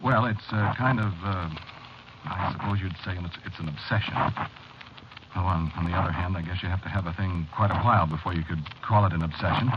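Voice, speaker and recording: Man acting an anxious, low-voiced man, old noisy radio. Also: natural voice. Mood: troubled, halting. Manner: hesitant, halting, confiding, nervous. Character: anxious, haunted man named Sebastian confessing a premonition. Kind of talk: 1940s radio drama monologue acting. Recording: noisy, muffled vintage radio broadcast recording.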